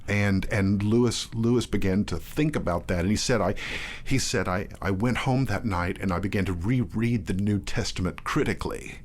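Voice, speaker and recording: A man talking as a guest in a casual in-depth discussion, often restarting his phrases in a halting way. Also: on the low side. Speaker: guest, theatre artistic director and performer.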